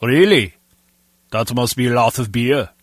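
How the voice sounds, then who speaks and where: A man's voice: mid-range voice, measured pace, no echo, clean studio sound, natural voice. An actor playing a mild, inquisitive male guard in a video game, talking conversationally. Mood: curious. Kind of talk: video game character dialogue.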